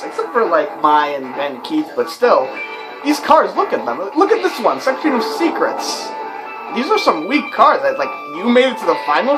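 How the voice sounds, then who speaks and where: A young adult talks through a video game in an easygoing, rambling way, full of fillers. The pitch jumps up and down a lot as he reacts. The game's soundtrack plays clearly underneath. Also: rough recording. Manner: rambling and chatty, with many fillers. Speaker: let's play commentator. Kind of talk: casual video game commentary. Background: game soundtrack music.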